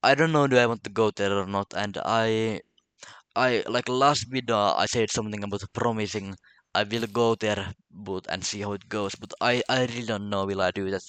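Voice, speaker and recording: A man's voice: fairly low pitch, steady tone, moderate pace, fairly bright tone, smooth, dry recording. A man from Finland with a Finnish accent and Finnish as his first language, talking casually to camera in a solo vlog, stammering and repeating words as he goes. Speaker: vlogger.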